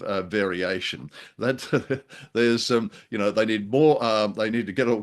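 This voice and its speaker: An older man with a slightly low voice chats casually as a show co-host. His delivery is halting and wry, with a jokey streak running through it. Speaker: co-host of an old-time radio discussion show. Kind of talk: casual humorous discussion.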